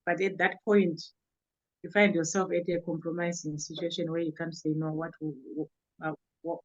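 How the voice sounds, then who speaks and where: A woman taking part in a group discussion, speaking hesitantly with many pauses as she asks a thoughtful question. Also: on the low side, level tone, no echo, lo-fi sound. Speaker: group participant.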